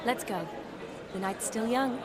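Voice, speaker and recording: Brief: actress as poised young medic, light quick delivery, festive crowd noise. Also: female voice, on the high side, natural voice. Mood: friendly.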